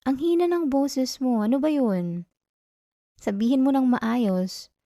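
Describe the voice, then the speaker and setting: An affectionate young Filipino girlfriend, played by a woman, speaks in a soft, mellow voice. She is tender and coaxing, with a playful scolding streak. The ASMR roleplay sounds intimate and very dry, with no room around her words. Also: sits high, takes it slowly, no echo. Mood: playfully flustered. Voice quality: soft, gentle.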